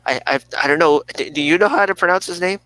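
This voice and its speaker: A man's voice: fairly high pitch, quick pace, sharp tone, low-quality recording. A man co-hosting a talk show in casual, unscripted conversation.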